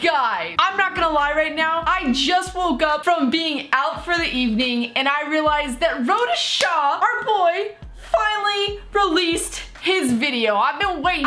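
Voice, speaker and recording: A woman in her thirties reacting to a video from her home gaming room, animated and exclaiming, her voice slightly hoarse. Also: a little slow, few pauses. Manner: animated, exclaiming, sponsor read in lower voice. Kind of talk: excited reaction commentary.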